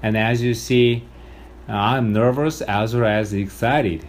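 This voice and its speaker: A male pastor preaches with a strong Korean accent, speaking in an earnest, measured way. He lifts his voice for emphasis now and then. His pitch sits in an ordinary middle range for a man. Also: steady tone, slight background noise. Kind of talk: sermon.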